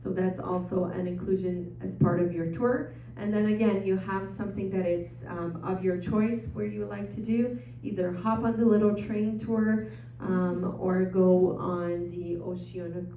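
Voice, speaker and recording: A woman's voice: mid-range voice, little pitch movement, a little slow, hardly any pauses, mellow, slight background noise. A woman with a Brazilian Portuguese accent, Portuguese as her first language, giving a casual travel presentation to a live audience with frequent ums and uhs. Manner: chatty, frequent ums and uhs, self-deprecating. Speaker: travel presenter at an info session. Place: meeting room with an audience. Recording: echoey room from far off, dull sound.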